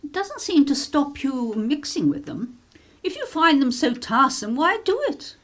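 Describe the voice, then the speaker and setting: A woman's voice: high voice, sing-song, normal speed, a bit bright. Woman playing a genteel English mother, swooping intonation. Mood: disapproving. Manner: genteel, chiding. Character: genteel, disapproving but loving upper-class mother in 1930s. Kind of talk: radio play acting.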